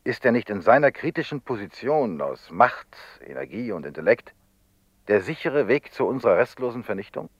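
An actor playing a grave, determined investigator in a radio drama, speaking in solemn, insistent rhetoric. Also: male voice, on the low side, sing-song, normal speed, dry recording, muffled recording. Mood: urgent, ominous. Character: grave, determined man, telepath investigator urging drastic action. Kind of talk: German radio drama acting.

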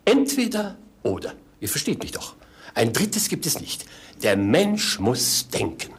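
A male actor playing a flustered, pompous, philosophizing king in a 1950s radio play, theatrical and halting. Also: a little high, very animated, breathy edge, full character voice. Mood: solemn, muddled. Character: muddled, pompous, anxious philosophizing old king. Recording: 1950s radio drama recording.

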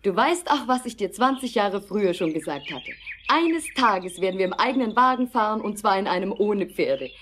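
An actress playing the proud, confident wife of an inventor in a German audio drama, speaking with assurance. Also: female voice, mid-range voice, very animated, normal speed, a bit bright, slight background noise. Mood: proud, reminiscing.

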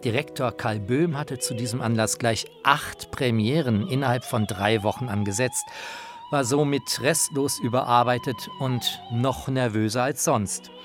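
A man's voice: medium pitch, huge pitch swings, measured pace, music in the background. A man narrates a radio documentary in a personal, informed way, as its presenter.

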